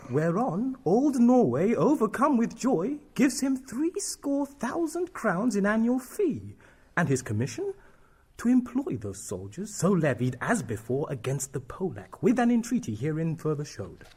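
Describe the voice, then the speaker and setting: Man acting a husky, animated royal ambassador. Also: high voice, measured pace, full character voice. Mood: proud, formal. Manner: formal, declamatory. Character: dutiful, formal royal ambassador delivering his report. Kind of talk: Shakespearean stage-style acting.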